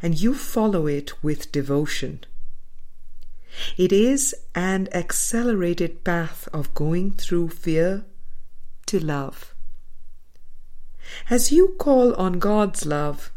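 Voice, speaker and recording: Female audiobook reader for spiritual text; lower register, very unhurried, deliberate phrasing. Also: mellow. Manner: measured, deliberate phrasing with pauses. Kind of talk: scripted spiritual book reading.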